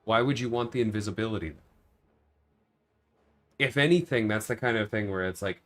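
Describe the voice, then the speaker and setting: A man's voice: on the low side, lively intonation, brisk, fairly bright tone. A man livestreaming a video game, chatting casually and thinking aloud as he reasons with viewers. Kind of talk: casual livestream gameplay chat.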